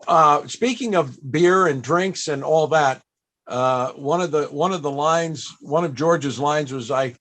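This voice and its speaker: A man hosting a casual panel interview, asking questions and sharing anecdotes in a somewhat halting way. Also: on the high side, fairly bright tone.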